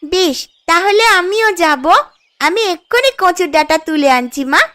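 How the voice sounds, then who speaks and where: A woman's voice: very high voice, expressive, normal speed, pushed and bright, exaggerated voice. A woman voicing an earnest young village girl in a cartoon, a daughter who can sound whiny but cares. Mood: eager. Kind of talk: cartoon character dialogue. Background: music.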